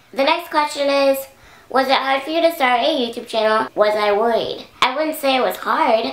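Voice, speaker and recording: Chatty hoarse young woman vlogger. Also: fairly high pitch, a bit bright, echoey room, poor audio. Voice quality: raspy. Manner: chatty, rambling with many "like"s. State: hoarse from singing. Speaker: YouTuber. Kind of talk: casual Q&A chat to camera. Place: indoor room at home. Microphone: camera close up.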